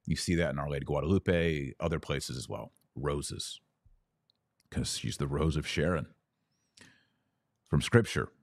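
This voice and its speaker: A man's voice: extremely low pitch, measured pace, creaky, pauses often, dry recording, studio quality. A man speaking as a podcast host, teaching about religion conversationally and answering questions in a didactic, emphatic way.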